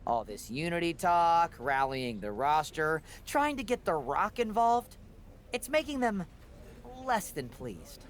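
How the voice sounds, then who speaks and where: Chatty male wrestling official, high animated pitch, wordy, awkward dry humour, video game dialogue. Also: takes it slowly, slight background noise. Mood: earnest, wary. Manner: wordy, rambling, dryly joking.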